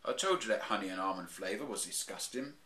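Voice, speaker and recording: A man's voice: on the low side, lively intonation, quick pace, sharp tone, reverberant. A man doing a dry, matter-of-fact father bear.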